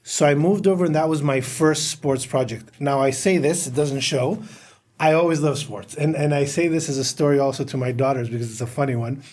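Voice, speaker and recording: A middle-aged man who grew up in Greece, a podcast guest telling anecdotes in a relaxed interview chat. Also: on the high side, fairly quick. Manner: storytelling, anecdotal, laughs while talking.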